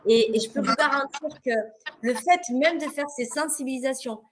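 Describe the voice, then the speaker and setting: A woman's voice: sits high, normal speed. A woman from France talks on a video call during a webinar panel. She argues with insistence and repeats phrases for emphasis. There is a faint background noise behind her voice. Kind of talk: passionate webinar discussion.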